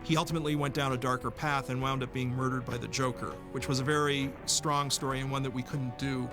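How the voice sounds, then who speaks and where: A man speaking in a measured, articulate way as a series writer-producer reflecting in a documentary interview. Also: mid-range voice, steady tone, over music.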